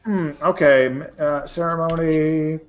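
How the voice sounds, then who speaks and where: A man's voice: on the high side, fairly even intonation, a little slow. A man playing a tabletop game, bantering casually with the other players. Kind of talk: casual banter in character talk.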